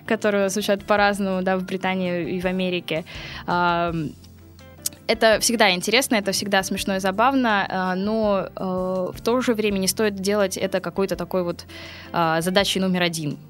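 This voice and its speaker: A woman who teaches English, answering interview questions in a relaxed, chatty way, laughing often and filling pauses with hesitation sounds. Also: medium pitch, soft background music. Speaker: English teacher and school co-owner.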